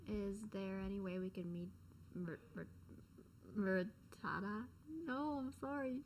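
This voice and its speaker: A woman's voice: medium pitch, fairly even intonation, a little slow. A woman streaming a game with casual, slangy reaction commentary, muttering short responses to what she sees. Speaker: gaming streamer. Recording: noisy gameplay audio behind voice.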